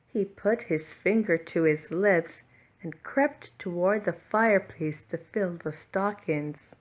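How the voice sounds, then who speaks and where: Woman reading a bedtime story, slowly. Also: lowish voice. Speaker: storybook reader. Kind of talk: children's bedtime story narration.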